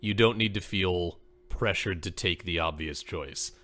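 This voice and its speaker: Man with a bright, radio-ready voice. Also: low-pitched, a little slow. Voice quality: voice people call radio-like. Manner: earnest, peppers speech with "like". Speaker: podcast co-host and actor. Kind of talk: casual podcast chat.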